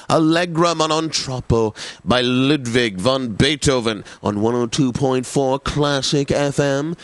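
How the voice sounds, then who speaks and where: A male actor plays a snobbish classical music DJ for a game radio station. He announces in a mid-pitched male voice with grand, careful enunciation and a condescending air. The sound is close and dry. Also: dry recording, lightly acted. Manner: pompous, theatrical, deliberate enunciation.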